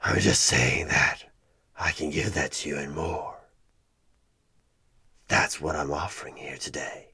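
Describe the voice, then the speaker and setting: Evil demon job interviewer for horror asmr, super raspy breathy half whisper, slow n coaxing, creepy calm. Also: male voice, a bass voice, exaggerated voice. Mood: coaxing, tempting. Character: sinister demonic tempter, male, posing as job interviewer. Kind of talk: horror ASMR one-sided audio roleplay.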